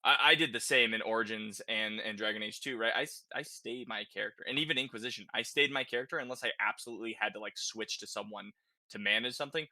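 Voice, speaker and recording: A man's voice: on the low side, fast talker, bright, forceful tone, no echo. A man co-hosting a casual gaming podcast, talking conversationally and often checking in with "right?" as he explains. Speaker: podcast host.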